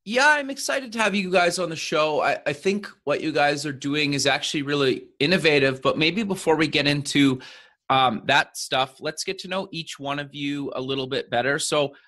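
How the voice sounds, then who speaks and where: A man's voice: on the high side, normal speed, bright, forceful tone. A man hosting a podcast interview, reading show announcements and welcoming guests in an upbeat, encouraging way. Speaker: host. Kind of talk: podcast interview with sponsor reads.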